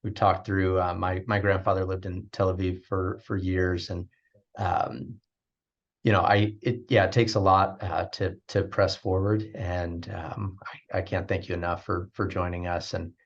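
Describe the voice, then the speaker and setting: A deep-voiced man hosts a business webinar, speaking sincerely with lots of pauses and restarts. The audio is slightly dull, as if from a video call. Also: flat delivery. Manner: hesitant, many fillers and restarts, sincere. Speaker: webinar host. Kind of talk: webinar talk.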